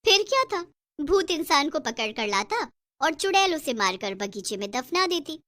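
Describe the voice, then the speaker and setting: Woman reading out a spooky story, high pitched, very dry recording. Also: big pitch swings, normal speed, pushed and bright, no echo. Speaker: story narrator. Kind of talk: scripted horror story narration.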